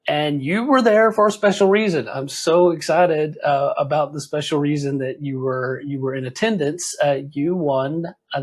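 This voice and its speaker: Male podcast host with slightly husky voice. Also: fairly high pitch. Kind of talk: conversational interview hosting.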